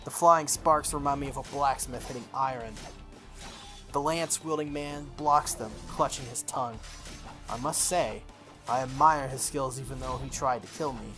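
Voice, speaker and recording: A gaming host narrates a visual novel aloud in a medium-pitched voice. The reading gives way to enthusiastic, gushing commentary. Game music plays behind the voice. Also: normal speed. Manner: enthusiastic, gushing asides between read narration.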